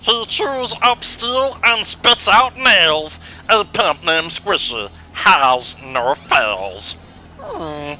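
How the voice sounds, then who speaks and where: A man's voice: sits high, sing-song, drawn-out words, pushed and bright, heavily acted, low-quality recording. A man voicing a boastful, pompous wrestling manager with grandiose, theatrical delivery in a cartoon.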